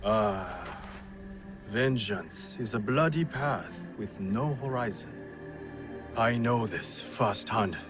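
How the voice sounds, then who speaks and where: A proud disowned warrior man in a game cutscene. He speaks slowly and impassioned, with very animated pitch. He broods theatrically over clear background music. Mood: brooding. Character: proud, bitter, defiant disowned warrior monk's son. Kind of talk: dramatic video game cutscene acting.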